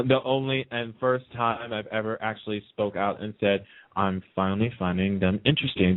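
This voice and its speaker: A man's voice: lowish voice, dry recording. A man chatting casually and emphatically as an opinionated guest on a radio talk show. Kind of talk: casual chat about TV soaps. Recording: muffled, narrow phone-like line.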